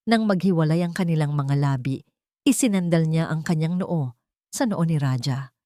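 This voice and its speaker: A woman reading scripted romance narration as a storyteller, in a measured, sentimental way, phrase by phrase. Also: deep voice, dark tone, no echo, clean studio sound.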